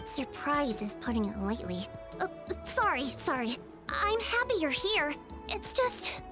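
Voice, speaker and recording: Casting: earnest young female chief, very high voice, flustered, anime game, music underneath. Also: big pitch swings, a little slow. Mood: startled, flustered. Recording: music playing behind the voice.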